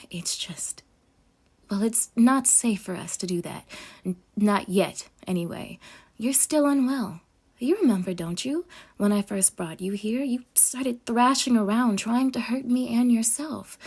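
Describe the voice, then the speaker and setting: A sweetly obsessive girlfriend, acted by a woman for an intimate roleplay audio. Her voice sits fairly high and has a breathy, husky edge. She coaxes with saccharine devotion and dramatic, half-whispered asides. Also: darkish tone, full character voice. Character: sweetly possessive, obsessed yandere girlfriend, a chef. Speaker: ASMR voice actor.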